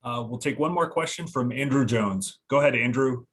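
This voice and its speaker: A man's voice: medium pitch, moderate pace, a bit bright, breathy edge. A man briefly moderating a press conference call.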